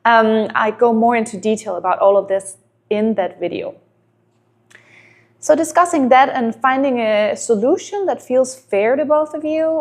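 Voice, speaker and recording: A woman in her thirties from Denmark, a native Danish speaker, talks straight to camera in a vlog. Her voice sits a little high, and she works through her points earnestly, asking one rhetorical question after another. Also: vocal fry, a little mellow. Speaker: relationship advice vlogger. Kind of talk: advice monologue to camera.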